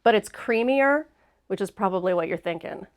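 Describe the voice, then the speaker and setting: A woman's voice: medium pitch, lively intonation, moderate pace. A woman hosting a casual livestream chat, sounding a bit sniffly and congested, talking in a chatty, upbeat way. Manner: chatty and upbeat, laughs easily. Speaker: host.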